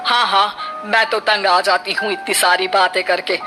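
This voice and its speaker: A woman's voice: medium pitch, steady tone, over music, close to an everyday voice, low-quality recording. A woman voicing a boastful, jealous, lonely elderly mother-in-law in a cartoon family drama, with a showy manner. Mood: haughty, jealous. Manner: haughty, showy, drawn-out speech.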